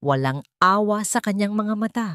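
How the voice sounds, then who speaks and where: A woman reading a scripted love story as narrator, solemn and measured, with dramatic pauses. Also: medium pitch, expressive, sharp tone, clear, resonant tone, dry recording, studio quality.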